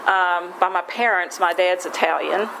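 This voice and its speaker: A woman's voice: on the low side, moderate pace, vocal fry, background noise. Rambling West Virginian woman sharing her story. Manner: rambling, folksy, self-deprecating, frequent restarts. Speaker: speaker at a recovery meeting. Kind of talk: personal recovery share.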